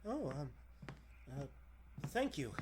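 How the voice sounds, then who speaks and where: A man's voice: a little high, sing-song, takes it slowly, noisy background. A man voicing a meek, mild-mannered young newspaper reporter in a 1940s radio drama, hesitant, deferential and stammering. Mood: apologetic.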